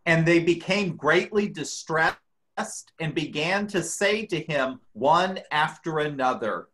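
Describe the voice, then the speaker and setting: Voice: mid-range voice, expressive, takes it slowly, a bit bright. A church reader giving a dramatic reading of scripture.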